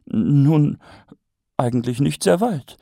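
A man voicing an excited noblewoman who is enraptured by dragons, in a raised, airy voice set back from the mic. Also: on the high side, unhurried, gravelly, husky, no room sound, studio quality, pitched above the performer's own voice, heavily acted. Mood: musing. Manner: excited, gushing, rapt. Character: noblewoman, eager dragon enthusiast, breathless.

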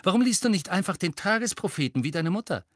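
A man's voice: high-pitched, expressive, quick pace, no echo, clean studio sound, voice pushed up. A man voicing an angry, defiant teenage boy in an audiobook, with biting, sarcastic retorts. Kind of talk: audiobook character voice.

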